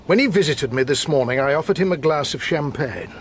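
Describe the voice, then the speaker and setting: A man's voice: on the high side, expressive, a little fast, a little hiss or hum, natural voice. A man playing a suave, cold-blooded murderer in a period radio drama, speaking with urbane, sardonic menace. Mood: smug, cool.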